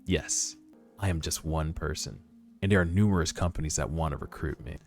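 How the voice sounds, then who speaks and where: A man's voice: extremely low pitch, brisk, vocal fry, voice pushed down. A man voicing a coolly confident young rookie hunter, reserved and self-assured, in a webtoon read-aloud. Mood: cool, composed. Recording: background music under voice.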